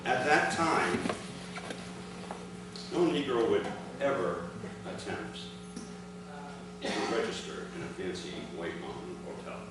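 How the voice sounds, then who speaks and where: A middle-aged man reading a prepared formal speech aloud to a gathering, with deliberate phrasing and emphatic sentence openings. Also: lowish voice, big pitch swings, far from the mic. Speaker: speaker reading his father's prepared account. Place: large indoor room, addressing a gathering. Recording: echoey, noisy hall recording from afar.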